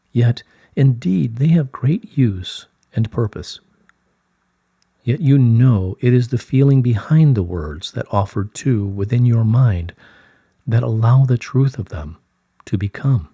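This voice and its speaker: A man giving a slow scripted reading of spiritual guidance in a solemn, measured, soothing way, with a soft, breathy voice. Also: mid-range voice, dry recording. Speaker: reader of channelled spiritual messages.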